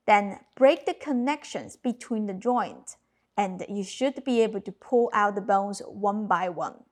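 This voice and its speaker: A woman in her thirties whose first language is Cantonese, narrating a cooking lesson with clear step-by-step instructions. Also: mid-range voice, unhurried. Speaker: cooking channel host. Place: home kitchen.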